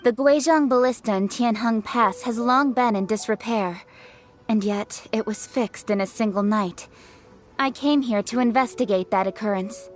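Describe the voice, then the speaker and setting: A woman's voice: fairly high pitch, moderate pace, soft background music, close to an everyday voice. A woman playing a proud, forthright young female official in a video game, speaking formally and assertively. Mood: businesslike. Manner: formal, assertive, crisp phrasing.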